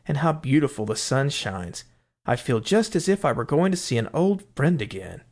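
A man's voice: mid-range voice, very animated, moderate pace. A man voicing a contented father in a fairy tale, speaking happily.

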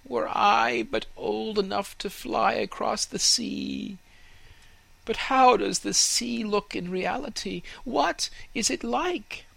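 A woman's voice: mid-range voice, slow pace, creaky, a bit bright, breathy edge, no echo, pitched above the performer's own voice, exaggerated voice. A woman voicing a restless young fir tree in a storybook reading, full of longing exclamations. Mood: wistful. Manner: yearning, breathless exclamations.

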